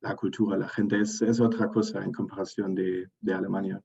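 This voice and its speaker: A young man with a deep, flat and gravelly voice answers interview questions, pausing often with "um" and restarting his sentences. His tone is dark and mellow, and the recording sounds a bit dull and lo-fi. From Germany. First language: German. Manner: hesitant, many fillers and restarts. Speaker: interview guest. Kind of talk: casual interview.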